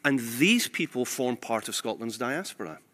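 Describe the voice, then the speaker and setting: Bright-voiced man, German native, halting speech. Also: mid-range voice, expressive. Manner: halting, with many fillers and restarts. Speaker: panel speaker at a party event. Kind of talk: earnest public speech. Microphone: handheld microphone.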